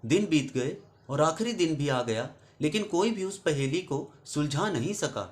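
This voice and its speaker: Higher-pitched man narrating a story. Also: expressive, measured pace. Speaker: storyteller and channel host. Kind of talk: scripted narration of a moral story.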